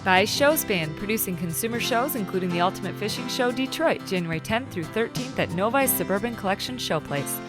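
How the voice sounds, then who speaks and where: A woman announcing the sponsors of a television show in a crisp, scripted read. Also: medium pitch, expressive, brisk, hardly any pauses, music in the background.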